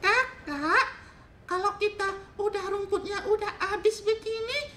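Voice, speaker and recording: A man voicing a brave, hungry little goat in a children's story, in a squeaky, childlike falsetto. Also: measured pace, bright, forceful tone, heavily acted. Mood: worried, pleading. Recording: roomy echo, faint rumbling noise.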